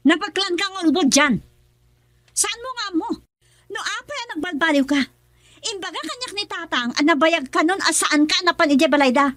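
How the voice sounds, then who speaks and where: A woman's voice: very high-pitched, lively intonation, moderate pace, a bit bright, no echo. An actress playing a young wife who suspects her husband in a radio drama, emotional and accusing, with an Ilocano accent. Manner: pleading then accusing, emotional.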